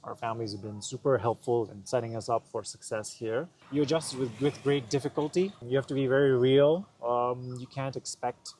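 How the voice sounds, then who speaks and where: A young man gives easygoing answers on a vlog, joking now and then and pausing on fillers. His voice sits at a middling pitch, and a faint hum of noise runs underneath. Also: steady tone, a little mellow. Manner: laid-back, joking, hesitant fillers. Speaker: vlogger. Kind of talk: casual Q&A chat.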